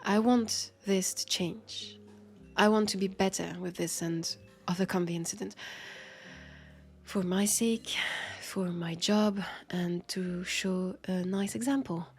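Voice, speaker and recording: A woman's voice: mid-range voice, steady tone, slow, darkish tone, faint music behind. A woman with a French accent playing a guarded but friendly vampire police officer in an intimate audio drama, speaking hesitantly and wryly, as if confiding. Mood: uneasy, earnest. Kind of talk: roleplay audio drama, intimate one-sided conversation.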